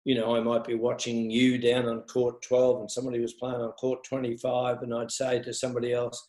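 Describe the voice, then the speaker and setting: Older man, animated coach in echoey room. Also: mid-range voice, fairly quick. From Australia. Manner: animated storytelling with frequent laughs. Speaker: tennis coach, guest. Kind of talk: reminiscing conversation.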